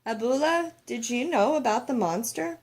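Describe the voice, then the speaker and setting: A woman's voice: a little high, expressive, moderate pace, darkish tone. A woman voicing a curious, earnest young girl in a children's storybook, asking and telling things eagerly. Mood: concerned. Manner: eager, questioning. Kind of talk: children's storybook read-aloud.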